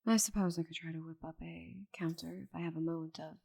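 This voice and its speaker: Woman acting proud female dragon, husky and low, dry wit, grudgingly tender, halting when flustered, intimate ASMR roleplay. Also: level tone, mellow. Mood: dry, resigned. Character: giant stubborn female dragon, cursed to shrink, grudgingly affectionate.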